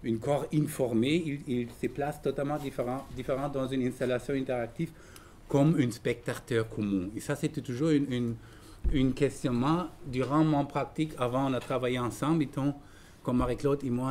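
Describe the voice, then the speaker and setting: A middle-aged man giving an artist's talk in a language that is not his first, halting and searching for words with many fillers. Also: medium pitch, steady tone, a little mellow, slight background noise. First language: not French. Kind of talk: lecture talk.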